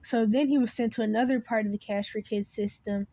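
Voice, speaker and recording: A woman's voice: fairly high pitch, steady tone, a little fast, warm tone. Rambling woman, cracking voice. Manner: rambling, loses her train of thought, restarts. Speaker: video creator. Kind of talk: unscripted monologue.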